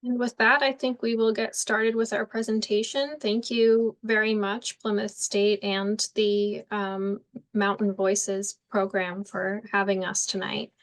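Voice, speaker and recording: A woman's voice: mid-range voice, little pitch movement, unhurried. Woman presenting with frequent ums. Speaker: county conservation district manager. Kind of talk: presentation to an online audience.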